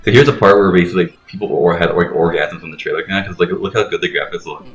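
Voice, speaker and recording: A man's voice: sits low, fast, soft background music. A man doing casual, rambling video game commentary, retelling things and acting out quotes. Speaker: let's play commentator.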